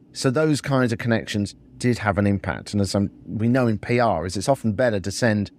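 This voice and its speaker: A man's voice: fairly low pitch, darkish tone. A middle-aged man hosting a solo podcast, speaking in a reflective, conversational way and sharing personal anecdotes. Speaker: podcast host. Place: hotel room.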